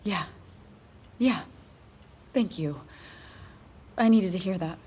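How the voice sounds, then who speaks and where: An actress playing a weary, grieving woman in her thirties, confiding haltingly in naturalistic video game drama. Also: medium pitch, mellow, slight background noise, lightly acted. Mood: weary, grateful.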